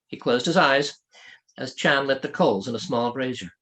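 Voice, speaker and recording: A man reading his own fantasy novel aloud as an author, in expressive scripted narration. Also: mid-range voice, moderate pace.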